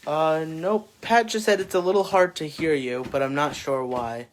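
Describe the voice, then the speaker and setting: A man's voice: fairly high pitch, normal speed, slight background noise. A young man helping run a live online class, reading viewer chat messages aloud and answering in a hesitant way. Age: young adult. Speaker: co-host reading viewer comments.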